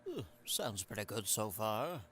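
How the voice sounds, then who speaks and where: A man's voice: lowish voice, big pitch swings, measured pace, a bit bright. A man acting an eager, supportive professor and inventor in an amateur audio drama, chatty and agreeable.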